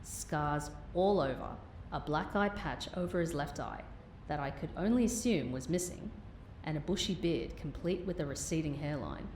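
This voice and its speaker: Lady acting as low voiced agent gal, dry and composed, audio drama, echoey room. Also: animated, normal speed, darkish tone. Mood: wry narration. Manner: wry, composed. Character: dry, composed female field agent narrating her story. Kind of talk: audio drama narration and dialogue. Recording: roomy echo, faint rumbling noise.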